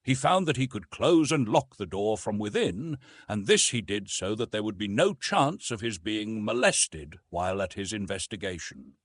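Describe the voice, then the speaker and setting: A man narrating a literary audiobook in an expressive, measured storytelling manner with dramatic emphasis. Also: on the low side, husky, no echo.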